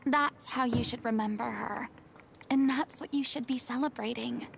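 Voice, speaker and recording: A woman's voice: fairly high pitch, steady tone, normal speed, gravelly, background noise. A woman playing a commanding but compassionate young heroine in a video game, firm, earnest and theatrical. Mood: earnest consoling. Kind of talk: dramatic video game voice acting.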